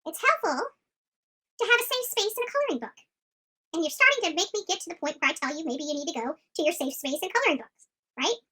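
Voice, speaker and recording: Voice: extremely high pitch, expressive, fast, sharp tone. A true crime commentator who speaks in an opinionated, emphatic and combative way, often repeating phrases for force. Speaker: online commentator.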